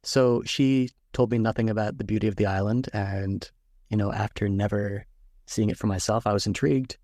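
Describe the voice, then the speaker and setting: A man in his thirties with a fairly low voice recounts his travels as an author guest. He talks easily and loosely, pausing on ums. The sound is dry with no room echo. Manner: relaxed, storytelling with ums. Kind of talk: interview answers.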